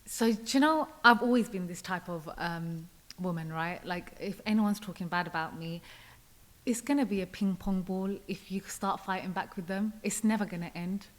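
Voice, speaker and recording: A young woman talking calmly and reflectively as a guest on a podcast. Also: on the low side, moderate pace. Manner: calm, reflective, often quotes herself. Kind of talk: casual interview chat.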